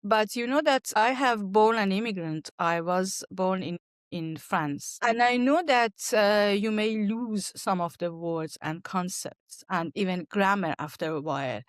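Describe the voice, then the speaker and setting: An Iranian-accented woman speaks as an interview guest, her native language being Farsi. Her pitch sits in the middle for a woman and she feels her way through phrases in conversational English. The sound is dry, with no room echo. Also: unhurried. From Iran. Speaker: guest, language teacher and archaeologist.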